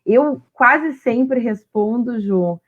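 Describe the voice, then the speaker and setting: A woman's voice: fairly high pitch, expressive, extremely slow, phone-quality sound. A Brazilian woman hosting a casual livestream interview, talking conversationally with frequent tag questions. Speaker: host of an online women's talk series.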